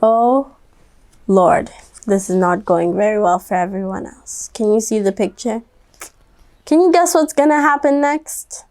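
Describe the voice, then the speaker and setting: A woman's voice: medium pitch, unhurried, a little mellow. A woman reading a picture book aloud to children, conversational and speaking directly to her young listeners. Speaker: storybook reader.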